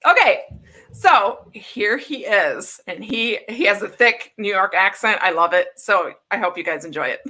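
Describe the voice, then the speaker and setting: Bright, chatty woman host. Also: medium pitch, lively intonation, measured pace. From Wisconsin. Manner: chatty, laughing often. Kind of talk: casual interview hosting.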